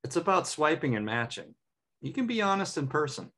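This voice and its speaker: A man's voice: on the high side, big pitch swings, fairly quick, bright, forceful tone, exaggerated voice. A man playing a laid-back, supportive buddy in his thirties, casual and easygoing, at a comedy table read on a video call. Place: home room on a video call.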